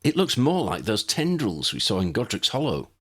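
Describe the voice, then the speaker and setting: A man's voice: lowish voice, huge pitch swings, normal speed, a bit bright, breathy edge, exaggerated voice. A man voicing a cool, observant male wizard professor in an audiobook, clipped and matter-of-fact. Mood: dry.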